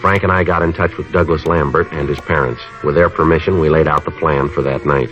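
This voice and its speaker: An actor playing a calm, businesslike police detective in a 1950s radio drama, terse and matter-of-fact. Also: male voice, very deep voice, fairly quick, faint music behind. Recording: dull old-time radio recording.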